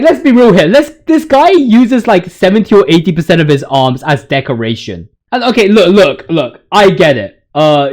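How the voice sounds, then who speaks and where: A man with a high, very lively voice talks through his opinions on a video game. His delivery is casual and full of slang, with exaggerated, joking outrage. He swears freely as he goes. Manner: ranting, hyperbolic, mock-outraged joking. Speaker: video game commentator ranking boss voice lines.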